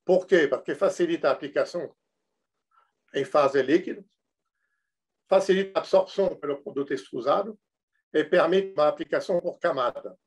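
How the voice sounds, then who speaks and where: A man with a northern French accent, speaking Portuguese as a second language, gives a technical lecture as a consultant, halting often with fillers and restarts. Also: on the high side, breathy edge. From northern France. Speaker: industrial projects consultant.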